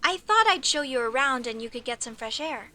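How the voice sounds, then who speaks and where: A woman's voice: sits high, a little fast, a little hiss or hum. A woman playing an earnest, proud young female elf mage who speaks expressively and intimately to the listener in an audio roleplay. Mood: playful, proud. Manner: expressive, chatty, mock-indignant at times, softening to sincere.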